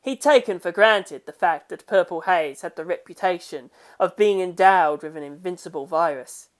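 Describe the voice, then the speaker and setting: A woman narrates a dramatic tale in a slightly low-pitched voice. She reads from a script in the style of an audiobook storyteller. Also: moderate pace. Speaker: audiobook narrator of fan fiction.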